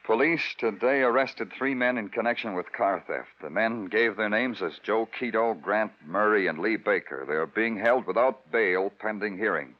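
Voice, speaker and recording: A man's voice: lowish voice, normal speed, hardly any pauses, lightly acted. A man acting a brooding New York police detective in a vintage radio crime drama, with an American accent and a terse, pensive delivery. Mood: matter-of-fact. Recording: muffled vintage radio recording, hiss.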